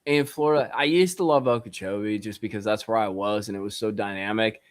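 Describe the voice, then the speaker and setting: Excitable slangy young-sounding fishing guy. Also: lowish voice, brisk, no room sound. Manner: excitable, loose and slangy, says bro and dude. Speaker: guest angler. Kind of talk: casual podcast chat.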